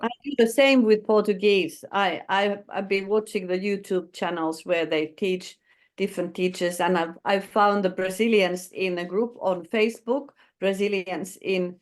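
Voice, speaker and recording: A woman taking part in a discussion on language learning, chatty and quick to agree. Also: mid-range voice, steady tone. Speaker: teacher or educator. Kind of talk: conversational discussion.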